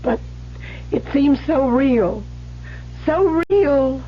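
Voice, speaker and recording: An actress playing a defensive, high-strung woman writer in a vintage radio drama, emotional and sharp-tongued. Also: lively intonation, soft background music, heavily acted. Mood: desperate, shaken. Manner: emotional, sharp-tongued, halting under pressure. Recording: muffled old radio broadcast with hiss and hum.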